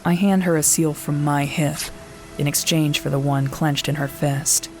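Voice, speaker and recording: A woman voicing a weary veteran warrior nun, narrating in first person with a calm, measured, commanding manner. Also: low voice. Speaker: narrator and squad leader. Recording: music bed under the voice.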